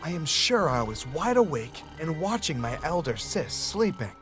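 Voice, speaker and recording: A man telling a childhood ghost story as scripted narration, in an even, flat storytelling manner. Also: a little high, normal speed, over music. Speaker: narrator of a played story video.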